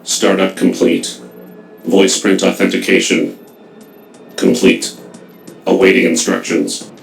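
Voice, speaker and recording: Game robot: cold, obedient machine, very low and heavily creaking, mechanical announcements. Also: male voice, slow, soft background music, heavily acted. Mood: emotionless, obedient. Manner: flat system announcements. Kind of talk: video game cutscene acting.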